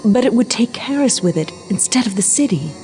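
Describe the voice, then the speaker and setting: A woman's voice: a little high, expressive, fairly quick, mellow, soft background music, natural voice. A woman playing a grave, commanding ally of nature in a video game cutscene, speaking with urgency. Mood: urgent.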